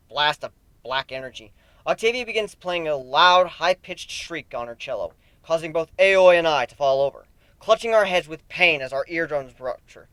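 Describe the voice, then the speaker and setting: A man giving an expressive dramatic reading of a fan fiction story as an amateur narrator. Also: a little high, dry recording.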